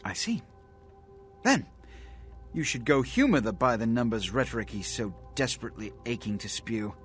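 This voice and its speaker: A man's voice: mid-range voice, expressive, moderate pace, fairly bright tone, natural voice. Man acting as a pompous, commanding vampire prince. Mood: disdainful. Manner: pompous, condescending, elaborately worded. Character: haughty, commanding male vampire prince of a city. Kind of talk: video game voice acting. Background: music.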